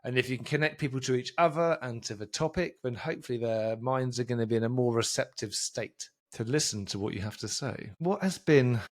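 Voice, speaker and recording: A man's voice: mid-range voice, fairly quick, few pauses. A man hosting a podcast, talking loosely and chattily, often restarting his sentences as he thinks aloud. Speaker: podcast host. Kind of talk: casual interview talk.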